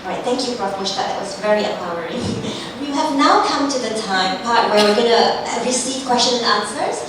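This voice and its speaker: A woman moderating a panel discussion and audience questions, bright and laughing easily. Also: a little high, fairly quick. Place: large seminar hall. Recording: echoey hall, distant mic, noisy.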